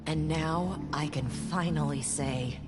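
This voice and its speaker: Bitter, furious young accuser. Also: female voice, low voice, takes it slowly. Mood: bitter, accusing. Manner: bitter, confrontational. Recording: game audio with reverb and music.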